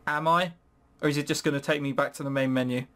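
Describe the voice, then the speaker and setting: A man's voice: on the high side, steady tone, fast, pushed and bright. A man giving casual, sarcastic gaming commentary with some swearing, sometimes reading game text aloud. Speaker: let's play host.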